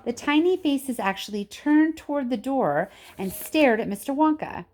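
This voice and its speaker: A woman's voice: high voice, animated, normal speed, darkish tone. A woman narrating a children's book expressively, like a teacher reading aloud. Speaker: story reader, teacher.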